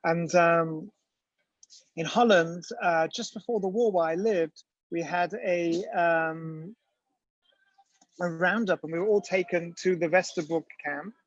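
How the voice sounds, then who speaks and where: A man's voice: on the high side, unhurried, dry recording. A man giving a storytelling lecture with slides, talking conversationally with many ums and restarts, in a dull, dry lo-fi recording.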